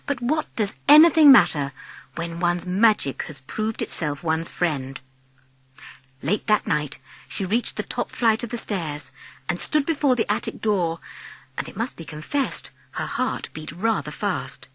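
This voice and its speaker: A woman narrating a dramatised storybook as an audiobook, with expressive, measured phrasing. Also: lowish voice, breathy edge, no echo.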